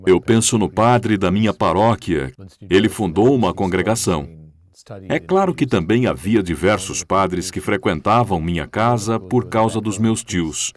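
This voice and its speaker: A man with a Brazilian Portuguese accent voices a guest's answers in a dubbed interview. His voice sits low, yet his intonation moves a lot. His delivery is measured and reflective. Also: expressive. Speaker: interviewee voice-over.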